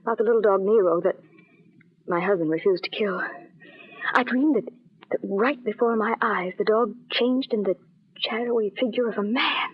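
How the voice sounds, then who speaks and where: An actress playing a frightened, fretful wife in an old radio thriller, breathless, halting and melodramatic. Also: female voice, mid-range voice, husky, close to an everyday voice. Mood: shaken, haunted. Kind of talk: 1940s radio thriller acting. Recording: muffled old band-limited radio recording.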